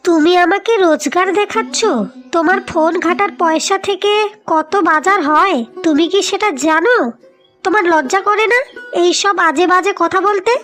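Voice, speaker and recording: A woman's voice: very high voice, normal speed, fairly bright tone, faint music behind. A woman playing an overworked, bitter, nagging elder co-wife in a cartoon story. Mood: angry, scornful. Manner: nagging, combative. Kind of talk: Bengali cartoon story acting.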